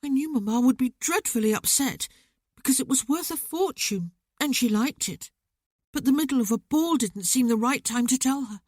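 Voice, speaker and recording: A narrator putting on an impulsive teenage boy from a storybook, his voice pitched up high and pleading in outbursts. He sounds hot-tempered and quick to protest, close and dry on the mic. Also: lively intonation, no room sound. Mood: sheepish. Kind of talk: audiobook character voice.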